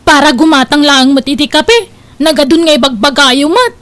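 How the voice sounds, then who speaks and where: A woman plays a lazy, bossy young Ilocano daughter in a radio-style drama. Her voice is very high, with a whiny, demanding, scolding delivery. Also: moderate pace, fairly bright tone. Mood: demanding, annoyed. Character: spoiled, lazy, bossy twenty-five-year-old daughter.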